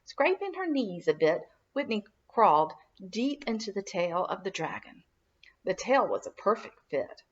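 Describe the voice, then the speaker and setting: A woman reads a storybook aloud for young children in a mid-pitched voice. Her narration is animated and dramatic, with lots of melodic movement. The sound is a little dull and lo-fi. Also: a little slow. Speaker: children's minister reading a storybook.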